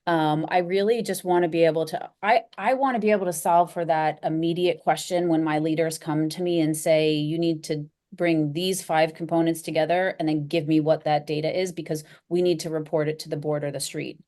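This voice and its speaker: A woman's voice: low-pitched, steady tone, brisk, few pauses, dark tone. A woman speaking as an interview guest, candid and self-deprecating, laughing easily as she talks. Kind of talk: casual conversational interview.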